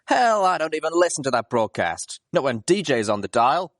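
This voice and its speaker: A man's voice: fairly high pitch, big pitch swings, moderate pace, no echo, clean studio sound, pitched above the performer's own voice. A man voicing a brash, scornful female pony in a tavern, with folksy, salty talk. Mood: amused scorn.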